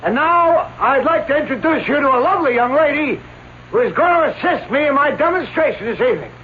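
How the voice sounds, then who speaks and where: A man's voice: extremely high pitch, lively intonation, a little fast. A man playing a proud, theatrical escape artist in a radio drama, addressing his audience in a florid, declamatory way. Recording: tinny, noisy old radio recording.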